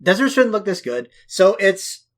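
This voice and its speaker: A man with a fairly high voice co-hosts a film podcast. He talks casually but emphatically, swearing freely and leaning into hyperbole. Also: sing-song, normal speed. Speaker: podcast co-host.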